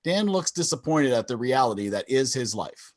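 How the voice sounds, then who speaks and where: Animated man narrating sitcom stage directions. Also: mid-range voice, moderate pace. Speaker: narrator of a table read. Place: indoors, on a video call.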